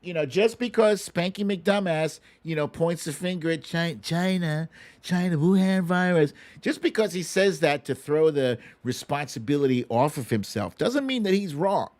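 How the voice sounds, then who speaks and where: An American man with a somewhat high-pitched voice hosts a live talk stream. He speaks conversationally and emphatically, giving his opinions bluntly and addressing his viewers as he goes. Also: expressive, normal speed, a little mellow. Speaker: host of a livestream talk show. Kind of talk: casual live commentary, chatting with viewers.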